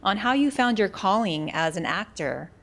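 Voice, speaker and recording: A woman's voice: mid-range voice, lively intonation, moderate pace, a bit bright. Woman hosting an interview. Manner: friendly, laughs often. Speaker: interview host. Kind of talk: casual interview.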